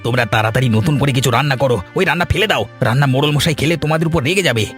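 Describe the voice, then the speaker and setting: A man's voice: mid-range voice, rapid-fire, a bit bright, faint music behind, natural voice. A man playing a busy, helpful and honest village organizer in a cartoon. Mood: urgent. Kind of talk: Bengali village cartoon voice acting.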